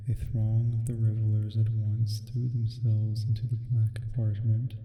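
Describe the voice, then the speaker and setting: A man voicing a sleep paralysis demon reading a horror story for ASMR in a slow, solemn, hushed, ominous way. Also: lowish voice. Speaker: ASMR roleplay performer. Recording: echoing long reverb, music underneath. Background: music.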